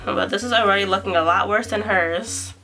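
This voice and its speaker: A woman's voice: mid-range voice, brisk, pushed and bright, faint music behind. A young woman talking through a makeup tutorial at home, chatty and self-deprecating, thinking aloud as she works on camera. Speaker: beauty vlogger.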